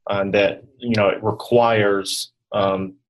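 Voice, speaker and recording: A man giving informal explanations as an interview guest, in hesitant, halting phrases. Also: lowish voice, little pitch movement, rough recording. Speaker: guest health insurance specialist.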